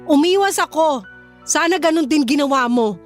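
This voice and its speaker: A young Filipino woman who is hurt and fed up. Her voice sits extremely high and she scolds with firm emphasis. It is radio drama, with soft music underneath. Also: animated, moderate pace. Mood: indignant. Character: hurt, indignant young woman standing up for herself. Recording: music behind the voice.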